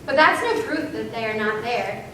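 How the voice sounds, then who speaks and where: A woman's voice: on the high side, lively intonation, moderate pace, pushed and bright. A woman reciting scripted lines as a readers theater performer. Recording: echoey hall, distant mic, noisy.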